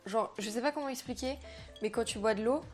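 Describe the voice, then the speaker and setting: A young woman, a French native speaker, chatting to camera in a slangy, animated way, talking about herself as a vlogger. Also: a little high, a little fast, faint music behind. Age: young adult. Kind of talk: casual chat to camera.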